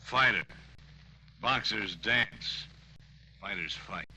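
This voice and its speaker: Deep male voice, gruff ex-boxer, very forceful tone, curt, blunt, old radio play. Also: very slow, exaggerated voice, poor audio. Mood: gruff, challenging. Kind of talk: 1940s radio acting. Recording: old radio recording, faint noise.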